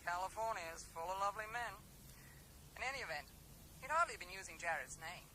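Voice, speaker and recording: An actress playing a brisk, wry, stoical woman in a radio drama, chatting breezily and brushing off sympathy. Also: on the low side, expressive, bright, forceful tone. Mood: wry, chatty. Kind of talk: 1980s radio drama acting. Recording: noisy 1980s radio broadcast recording.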